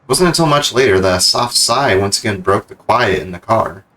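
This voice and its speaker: A man's voice: on the low side, animated, a little fast, fairly bright tone. A man livestreaming a video game, commenting and reading the story text aloud.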